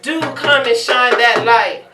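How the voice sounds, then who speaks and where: Distant echoey stage recording, loud music, woman, high voice, sing-song spoken word, declamatory poet. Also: takes it slowly. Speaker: performance poet. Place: performance at a marketplace, far from the mic.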